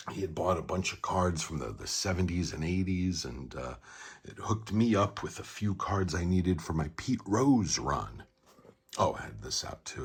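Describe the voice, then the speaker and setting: A middle-aged man speaks in a remarkably deep register, thick with fry and husky around the edges. His delivery is casual and meandering, with halting phrases that trail away, in the manner of someone hosting an informal online show-and-tell. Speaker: YouTube channel host showing a card collection. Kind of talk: casual rambling vlog commentary.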